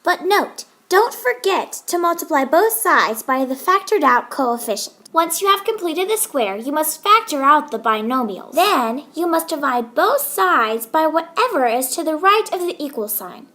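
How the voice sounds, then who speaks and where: High, bright woman presenting. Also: very animated, normal speed, hardly any pauses. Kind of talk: scripted maths lesson.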